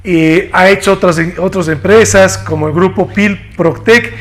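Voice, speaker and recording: Male host, high-pitched, formal interviewer who asks long, winding questions. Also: unhurried, a bit bright.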